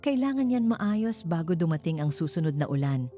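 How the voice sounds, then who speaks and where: Lady narrator as a devoted filipino guy hero, few words, low voice, romance story with music under it. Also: big pitch swings, moderate pace, warm tone. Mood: resolute. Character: devoted, determined billionaire man posing as a laborer.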